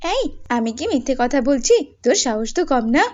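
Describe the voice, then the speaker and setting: A woman's voice: high-pitched, very animated, moderate pace, a little mellow, muffled recording. A woman voicing a spiteful young stepsister in a cartoon fairy tale, accusing and tattling. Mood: spiteful, indignant.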